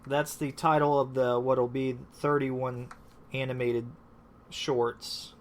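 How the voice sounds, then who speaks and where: A man's voice: medium pitch, level tone, slow, slight background noise. A man hosting a casual live stream, rambling and restarting with lots of fillers, just back from being sick. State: recovering from illness. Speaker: host.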